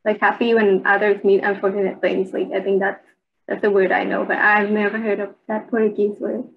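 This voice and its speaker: A woman's voice: mid-range voice, level tone, brisk, gravelly, husky. A woman chats casually during an online class discussion, filling her sentences with "like.". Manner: casual, leans on "like". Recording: tinny phone-like audio.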